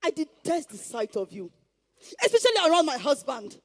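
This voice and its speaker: A haughty Nigerian village mother on stage. Her voice is very high and swoops widely as she declaims scornful, proverb-laden rebukes in protective pride. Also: very animated, moderate pace. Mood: haughty disdain. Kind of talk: theatrical stage play acting.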